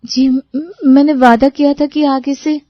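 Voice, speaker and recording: A woman's voice: sits high, level tone, a little slow, dry recording, dull audio, pitched above the performer's own voice. A woman voicing a meek, flustered young newlywed in a cartoon story, hesitant, apologetic and stammering. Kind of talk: Hindi cartoon moral story voice acting.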